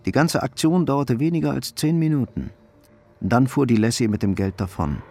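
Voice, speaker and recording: An actor playing the sober narrator of a radio crime drama, telling events in a measured, factual way. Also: male voice, medium pitch, vocal fry, darkish tone, soft background music.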